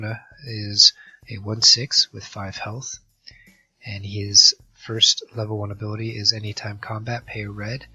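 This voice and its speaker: A hoarse man with a cold commentating an online card game match, reading out card text with many halting fillers. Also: fairly low pitch, bright, forceful tone. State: hoarse from a virus. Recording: dull lo-fi mic with faint music.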